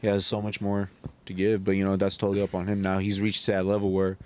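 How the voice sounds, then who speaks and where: A man's voice: low voice, monotone, fast, a little mellow. An American man co-hosting a podcast in casual slang, repeating his points for emphasis. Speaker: podcast co-host. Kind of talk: casual group chat.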